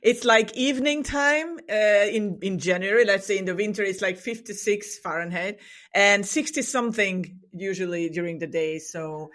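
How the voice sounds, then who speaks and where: A woman's voice: mid-range voice, normal speed, fairly bright tone. A woman with a Hungarian accent, a native Hungarian speaker, chatting cheerfully as a podcast guest and laughing often. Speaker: podcast guest, booking agency co-owner. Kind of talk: casual interview chat. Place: home in Cyprus.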